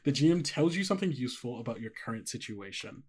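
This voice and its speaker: Guy gm-ing a tabletop rpg, mid pitch, casual n agreeable chat. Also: sing-song, quick pace, a crowd in the background. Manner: agreeable, conversational, often affirming players. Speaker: game master. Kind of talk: casual tabletop game narration.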